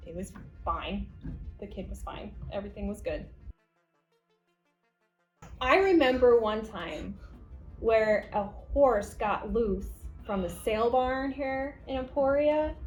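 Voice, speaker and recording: A woman's voice: a little high, slow, long pauses, low-quality recording. An American woman, a 911 dispatcher, telling stories casually among colleagues. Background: background music.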